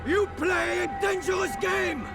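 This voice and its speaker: An actor playing a hostile enemy knight commander who declaims loud taunts over loud music. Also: male voice, extremely high pitch, unhurried, gravelly, heavily acted. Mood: taunting, belligerent. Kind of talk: dramatic video game acting.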